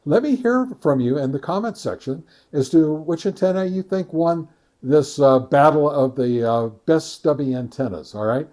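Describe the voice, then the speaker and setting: A man giving an informal product review as a channel host, speaking in a halting, deliberate way with frequent hesitations and self-corrections. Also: a little high, lively intonation, mellow.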